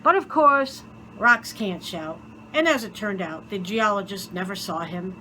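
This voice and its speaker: A woman reading a children's story aloud in an expressive, theatrical way, with deliberate dramatic pauses. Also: medium pitch, slight background noise, poor audio. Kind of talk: expressive scripted story narration.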